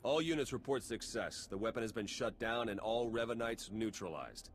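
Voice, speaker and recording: An actor playing a brisk, steady male military commander in a video game, giving crisp orders. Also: lowish voice, slight background noise.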